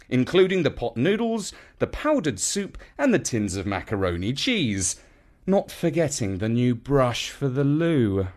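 Very animated male storyteller. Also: medium pitch. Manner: dramatic, expressive. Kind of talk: scripted children's story narration.

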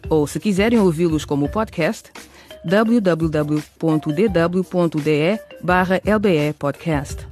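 Casting: male narrator for radio serial, fairly high voice, scripted delivery, light music behind. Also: measured pace, a little mellow. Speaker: narrator of a radio drama series.

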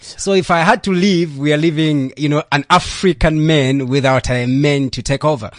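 A young man from Zambia, a leadership coach who is a studio guest, telling his life story in an animated way and often repeating words. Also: a little high, moderate pace, dry recording. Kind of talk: storytelling interview. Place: radio studio.

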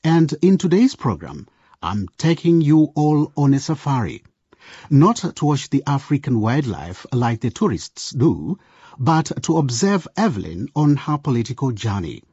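A male presenter narrates a radio drama, speaking straight to the listeners. His voice is dark and husky, recorded very dry and a little dull. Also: medium pitch, big pitch swings, measured pace, dry recording. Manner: storyteller-like, addressing listeners directly.

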